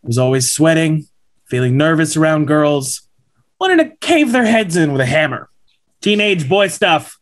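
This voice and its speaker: A man's voice: on the high side, lively intonation, normal speed, natural voice. A man in his thirties playing a slick, upbeat corporate representative lecturing schoolboys at a script table read. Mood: earnest, persuasive. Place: indoors at home on a video call.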